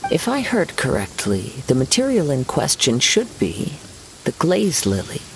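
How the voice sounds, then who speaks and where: A man voicing a gentle, serene young wandering poet swordsman in a game, with measured, formal, poetic phrasing. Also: mid-range voice, gravelly, full character voice, rough recording. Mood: composed, assured. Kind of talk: scripted game dialogue, storytelling. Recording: steady rain noise behind voice.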